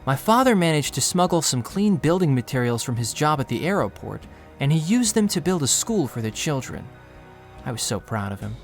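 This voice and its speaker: An actor playing a poor, anxious young man who narrates his own story in a quiet, melancholy, self-critical way. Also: a little high, big pitch swings, a little fast, soft background music. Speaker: narrator and lead character. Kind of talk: first-person audio drama narration.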